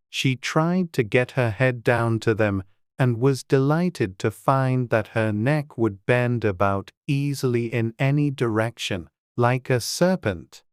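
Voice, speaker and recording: Audiobook narrator: mid-pitched, smooth, measured and gentle, scripted storytelling. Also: dry recording, studio quality.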